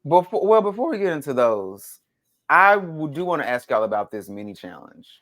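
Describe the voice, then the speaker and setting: A man's voice: fairly high pitch, big pitch swings, normal speed, a bit bright. A man chatting casually as a podcast panelist, slangy and given to swearing. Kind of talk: casual group chat recapping a TV show.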